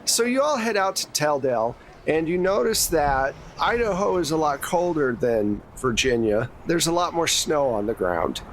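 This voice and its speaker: Man game-mastering over clear background noise. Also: fairly high pitch, big pitch swings, moderate pace, hardly any pauses. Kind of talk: casual actual-play tabletop narration.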